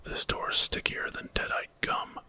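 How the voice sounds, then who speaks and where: A man's voice: unhurried, lightly acted. A man voicing a brash, wisecracking male monster hunter in a whispered ASMR story, cocky and sardonic.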